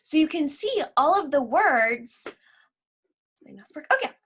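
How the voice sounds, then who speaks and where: A woman teaching a lesson for children, explaining simply and reading aloud deliberately, like a school library teacher. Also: sits high, sing-song, fairly bright tone.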